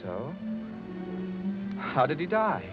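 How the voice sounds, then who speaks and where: An actor playing an eager, incredulous young English lord in an old radio drama, with a breezy upper-class manner. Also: male voice, fairly high pitch, very animated, slow. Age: young adult. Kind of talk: 1940s radio acting. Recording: dull old radio recording, loud music.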